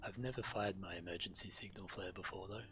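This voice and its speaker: A male game streamer with a deep voice reads fantasy quest text aloud in character. His delivery is measured, like storytelling, with clear music playing behind him. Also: fairly even intonation, rough recording.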